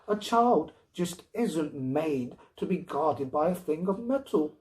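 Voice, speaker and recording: Storybook wife and mother, played by a man: high, swooping delivery, indignant, anxious, insistent. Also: animated, takes it slowly, darkish tone. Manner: pleading, emphatic.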